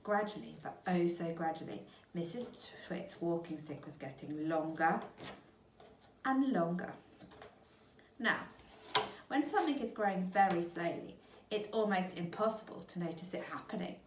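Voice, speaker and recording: A woman teacher reading a storybook aloud to children, expressive and speaking straight to them. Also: on the low side, moderate pace, a little hiss or hum. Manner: expressive, addresses young listeners. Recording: echoey room, mic at a distance.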